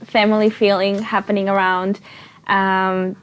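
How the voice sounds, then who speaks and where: Young woman, mid-pitched, chatting. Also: flat delivery, a little slow, clear, resonant tone, low-quality recording. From Bulgaria. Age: 20s. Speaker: panel guest, recent graduate. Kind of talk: casual panel chat.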